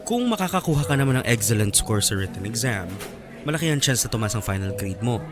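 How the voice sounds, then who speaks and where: An actor playing a frank but encouraging college professor with a Filipino accent, measured and switching between English and Tagalog. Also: mid-range voice, big pitch swings, music in the background, lightly acted. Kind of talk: radio drama acting.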